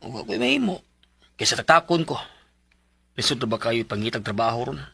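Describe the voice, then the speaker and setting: A man's voice: medium pitch, very animated, a little fast, gravelly. A man with a Cebuano Filipino accent acting a worried, stubborn working husband in a radio drama, speaking colloquially and expressively. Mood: worried, weary.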